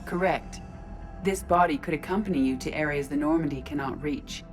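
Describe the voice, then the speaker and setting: A woman's voice: sits low, fairly quick, soft background music, lightly acted. A woman voicing a ship's artificial intelligence in a robot body for a video game, formal, precise and emotionless. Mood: calm, analytical. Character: female ship artificial intelligence in a robot body, coolly logical.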